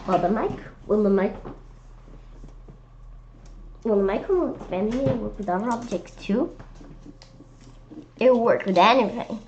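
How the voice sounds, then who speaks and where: A woman's voice: mid-range voice, animated, measured pace, long pauses, darkish tone, muffled recording, a little hiss or hum. A woman reading a children's story aloud expressively, often stumbling over and repeating words. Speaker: children's read-aloud host. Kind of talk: animated storybook narration.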